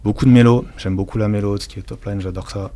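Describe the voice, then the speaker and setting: A man's voice: fairly low pitch, fairly even intonation, quick pace, mellow. A young man answering as a guest rapper in casual, laid-back, slangy interview chat.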